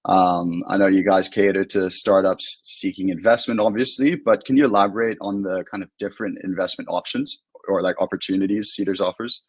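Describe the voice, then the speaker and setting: A man's voice: low voice, steady tone, brisk, hardly any pauses, slightly rough, no room sound. A man hosting a webinar interview, asking questions in a loose manner full of fillers. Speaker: webinar host.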